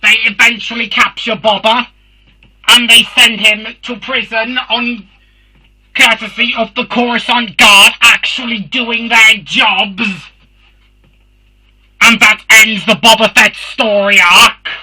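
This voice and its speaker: A performer voicing a Dalek, a robotic alien warrior hosting a fan review show, with a harsh filtered voice and halting, emphatic delivery. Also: medium pitch, flat delivery, pushed and bright, husky, exaggerated voice, low-quality recording. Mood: explaining.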